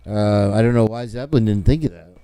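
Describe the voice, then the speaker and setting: A man's voice: fairly low pitch, a little fast, mellow, smooth, no echo. A man hosting a casual livestream, joking and rambling and laughing often. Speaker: host.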